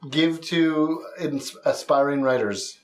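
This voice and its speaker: A man hosting a comedy podcast, chatting casually in a halting, encouraging way with a guest. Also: a little high, slight background noise. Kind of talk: casual interview chat.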